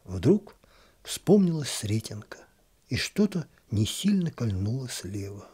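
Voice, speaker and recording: A man reading a literary story aloud in an expressive, gently ironic storytelling manner. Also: medium pitch, slow, husky.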